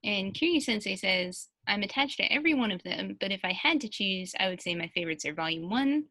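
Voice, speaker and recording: A woman's voice: mid-range voice, animated, fairly quick, bright, forceful tone, no echo. A woman in a casual panel interview, chatting and reading out answers as a translator.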